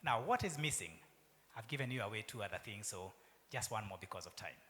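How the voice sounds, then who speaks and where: Kenyan guy speaking at a conference, pitch jumps around a lot, big echoey hall. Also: lively intonation, brisk. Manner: asks the audience questions, chuckles, drops to soft asides. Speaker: university vice chancellor giving a keynote. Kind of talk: conference keynote talk. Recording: long hall reverb.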